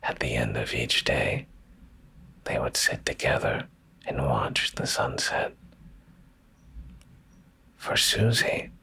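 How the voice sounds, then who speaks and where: A man with a rock-bottom, heavily fried voice reads in a hushed bedtime ASMR style. His delivery is unhurried and drawn out, with soft, soothing phrasing right up against the microphone. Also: big pitch swings. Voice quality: very deep, gravelly, breathy near-whisper. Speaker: ASMR streamer and bedtime story reader. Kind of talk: ASMR, slow soft bedtime story reading. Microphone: close ASMR microphone.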